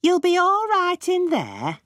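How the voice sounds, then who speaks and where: A woman's voice: extremely high pitch, huge pitch swings, takes it slowly, a bit bright, dry recording, clean studio sound, heavily acted. A woman voicing a kindly, fussing village housewife in a children's audiobook. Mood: coaxing. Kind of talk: children's audiobook character reading.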